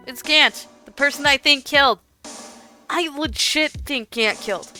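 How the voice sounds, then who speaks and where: A woman livestreaming a video game playthrough with animated, exclamatory commentary and mild cursing. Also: sits high. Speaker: game streamer. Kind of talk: livestream playthrough commentary, reading dialogue aloud. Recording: music playing behind voice. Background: game music.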